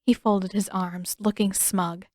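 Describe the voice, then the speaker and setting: A woman narrates a story in an expressive, measured way. Her voice sits at a medium pitch, and the recording is very dry. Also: dry recording. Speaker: audiobook narrator.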